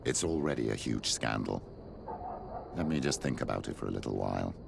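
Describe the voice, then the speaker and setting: A calculating older priest in a game, with an extremely low, creaking voice. He speaks formally and measures his words, over loud background noise. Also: animated, brisk, heavily acted. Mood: measured, calculating. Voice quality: gravelly, very deep.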